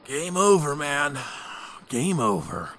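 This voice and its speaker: A man playing a goofy, excitable teenage mutant turtle in an audio drama, playful and dramatic. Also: on the high side, takes it slowly, slight background noise, full character voice. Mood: dejected.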